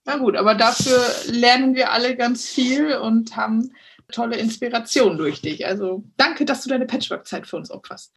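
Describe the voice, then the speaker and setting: A woman's voice: a little high, moderate pace, vocal fry, slight background noise. A woman interviewing a podcast guest in casual German, encouraging her and often nodding along with short "mhm"s. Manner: encouraging, with lots of "mhm" backchannel.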